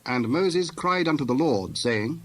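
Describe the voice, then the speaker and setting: A man narrating scripture from a script in a solemn, deliberate storytelling manner. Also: a little high, expressive. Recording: clear background noise with birds.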